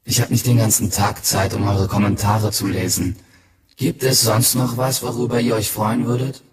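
A grandiose secret hero in a radio play. His lowish male voice is self-assured, conspiratorial and commanding. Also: little pitch movement, moderate pace, husky. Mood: smug. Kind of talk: radio drama acting.

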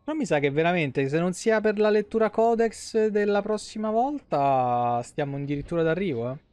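A man's voice: high voice, expressive, moderate pace, a little mellow, smooth, dry recording. A man in his thirties with a central Italian, Roman accent, giving casual live gameplay commentary in a colloquial, salty-tongued way, thinking aloud. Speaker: game streamer, host. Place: indoor streaming setup at home.

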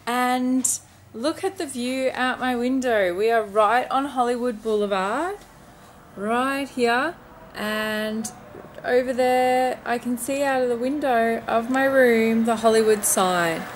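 A woman's voice: fairly high pitch, takes it slowly. A young adult woman with an Australian accent, chatting casually to camera as a travel vlogger. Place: airports, plane cabin and moving taxi. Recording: noisy airport and vehicle background.

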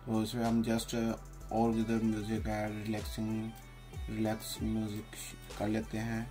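Flat-toned man giving a software tutorial. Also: fairly low pitch, unhurried. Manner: plain, step-by-step explaining. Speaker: tutorial host. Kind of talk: unscripted software tutorial narration. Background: background music.

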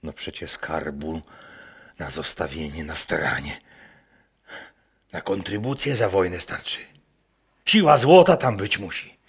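An old Masurian peasant, haunted and devout. His voice is low and husky, musing slowly in rustic dialect as if at prayer. It belongs to a folk radio drama. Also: full character voice. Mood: foreboding. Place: performed live in a wooden barn.

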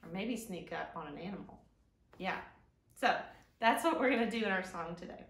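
Expressive storytime woman with lively, swooping pitch. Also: creaky. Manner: expressive, simple child-directed delivery with drawn-out key words. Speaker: children's librarian, storytime host. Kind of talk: storytime presentation and picture-book read-aloud for young children. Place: living room at home, indoors. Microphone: camera a few feet away. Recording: roomy sound from camera mic.

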